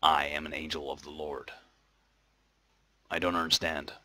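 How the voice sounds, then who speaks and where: Extremely low gravelly growl, solemn male angel acted by a man, TV fantasy, deadpan, forceful, dry. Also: moderate pace, full character voice. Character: solemn, stiff angel in human male form.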